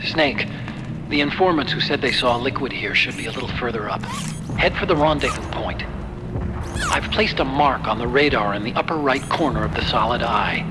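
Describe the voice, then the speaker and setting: A man's voice: medium pitch, animated, moderate pace, over music, close to an everyday voice. An actor playing an eager, friendly male engineer explaining gadgets in an upbeat way in a video game. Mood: helpful.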